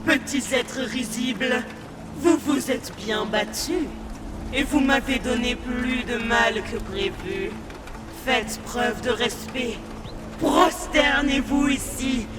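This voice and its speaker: A woman's voice: on the high side, slow, noisy background. A woman playing a proud, cruel elf sorceress queen in a fantasy audio drama, declaiming in a haughty, theatrical way. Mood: haughty, menacing. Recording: dramatic music behind the voice. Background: loud music.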